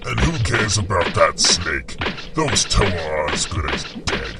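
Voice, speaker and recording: A man playing a gruff, callous brute of a villain warlord in an animated fan dub. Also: deep voice, measured pace, recorded from a distance, loud music behind the voice, full character voice. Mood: callous, scornful.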